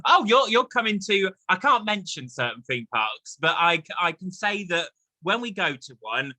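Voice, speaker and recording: A man's voice: high voice, sing-song, normal speed, bright, forceful tone, no room sound. A man hosting an online chat show in a chatty, playful, enthusiastic and jokey way.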